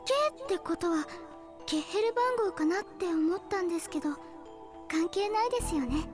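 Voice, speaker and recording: Sweet, bashful young pianist, very high quick voice, polite, game music behind. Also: female voice, expressive. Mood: earnest, explaining. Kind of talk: Japanese visual novel voice acting.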